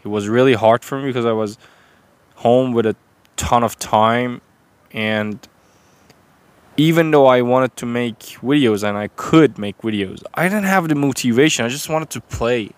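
A young man with a Persian accent, talking unscripted to a phone camera in a rambling, halting way full of fillers and restarts. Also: mid-range voice, smooth, no room sound. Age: young adult. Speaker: vlogger.

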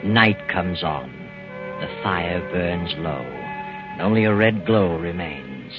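An actor playing the ominous narrator of an old-time radio suspense tale, speaking in a measured, dramatic manner. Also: male voice, deep voice, lively intonation, loud music behind the voice. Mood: ominous, hushed suspense. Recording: dull vintage radio recording.

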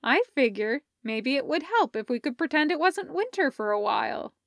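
A woman's voice: high-pitched, big pitch swings, moderate pace, gravelly, a bit bright, dry recording, clean studio sound, full character voice. A woman voicing a quirky, eager, mischievously affectionate young woman in a story, with childlike delivery. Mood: earnest. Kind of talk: storybook character voice.

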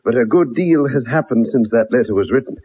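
An actor playing an earnest, genial British gentleman in a radio drama, polite and formal in his speech. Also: mid-range voice, a little fast, husky, close to an everyday voice. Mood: eager, earnest. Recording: dull lo-fi old radio recording.

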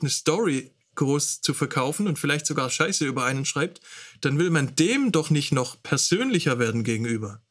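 A man's voice: a little high, fairly bright tone. A man speaking as a host in an informal, personal lecture, thinking aloud and correcting himself as he goes. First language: German. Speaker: host teaching songwriting.

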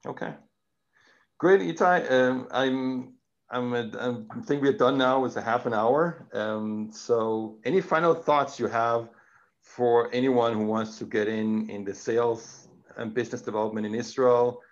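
A man's voice: medium pitch, a little slow. Danish man hosting over dull audio. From Denmark. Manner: hesitant, many fillers and restarts, summarizes guest's points. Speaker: interview host. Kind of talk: casual interview. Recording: dull, muffled sound.

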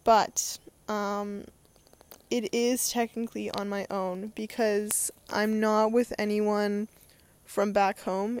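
A woman's voice: medium pitch, a little mellow, no echo. A young adult woman talking in a casual, unscripted monologue as a podcast host, rambling and hesitant as she thinks aloud.

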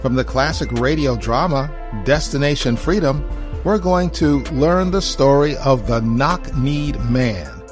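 A man's voice: medium pitch, animated, measured pace, soft background music. A man hosting a radio show, reading scripted introductions and sponsor messages on a close microphone. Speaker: host.

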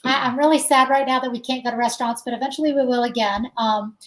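A woman's voice: high-pitched, steady tone, fast talker. A woman guest in an interview, talking casually and chattily, laughing often and saying "like" a lot. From United States. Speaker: guest musician.

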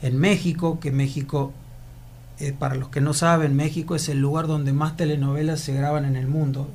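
A man's voice: fairly high pitch, flat delivery, normal speed, darkish tone, slight background noise. A man from Argentina with an Argentine accent, an actor answering interview questions in a reflective, rambling way with many restarts. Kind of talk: casual interview conversation.

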